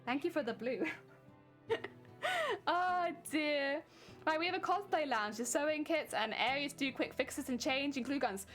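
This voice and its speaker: A woman's voice: high-pitched, normal speed, fairly bright tone, faint music behind. A woman chatting casually on a livestream, explaining her sewing steps as she works and laughing often. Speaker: cosplay crafting streamer.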